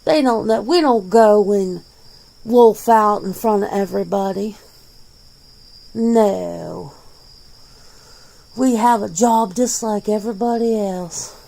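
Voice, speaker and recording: A woman's voice: mid-range voice, slow, creaky, pauses often, mellow. A woman voicing a jaded, defensive werewolf in their forties in an ASMR interview roleplay, talking bluntly and casually. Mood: matter-of-fact. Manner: blunt, casual, swearing, conversational.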